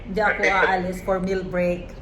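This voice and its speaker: A woman's voice: lowish voice, flat delivery, normal speed, a bit bright, slight background noise. A woman with a Filipino accent chatting casually and informally to her viewers as a vlogger. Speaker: vlogger, event info point staff. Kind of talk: casual vlog chat to viewers. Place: outdoors or at an office doorway.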